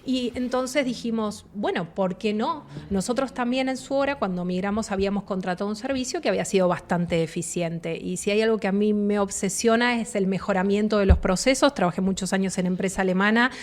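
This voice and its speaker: A woman's voice: medium pitch, fairly quick, few pauses. A woman from Argentina with an Argentine accent, a relocation agent giving a fluent, explanatory radio interview. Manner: fluent, explanatory, animated asides.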